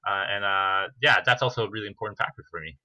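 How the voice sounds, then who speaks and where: Bright, halting young man, lo-fi audio. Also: fairly low pitch, no echo. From Hong Kong. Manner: earnest, halting, many filler pauses. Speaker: pharmacy manager, podcast guest. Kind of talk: casual podcast conversation. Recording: slightly dull lo-fi audio.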